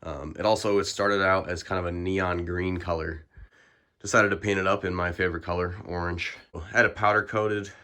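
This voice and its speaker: A young man with a low-pitched voice, sniffly from the flu. He talks in a relaxed, explanatory way and fills his pauses with ums. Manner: relaxed, filler-heavy, explanatory. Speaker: bike vlogger. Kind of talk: casual bike check walkthrough.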